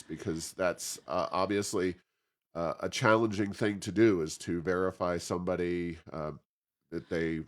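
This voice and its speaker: Male interviewer, deep voice, hesitant phrasing, conversational podcast questioning.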